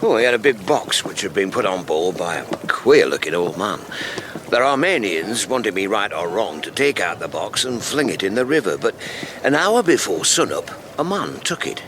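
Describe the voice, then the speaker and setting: A blunt, grumbling old-time sea captain in a radio drama. The voice is fairly low and very lively in pitch, with clear waterside noise around it. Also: male voice, normal speed. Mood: gruff, relieved. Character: gruff, disgruntled male sea captain. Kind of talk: period audio drama dialogue.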